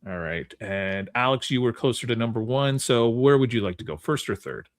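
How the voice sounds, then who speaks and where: A man hosts a casual movie game on a podcast. His pitch is average, but it moves around a great deal as he addresses his guests. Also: lively intonation, normal speed. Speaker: podcast host.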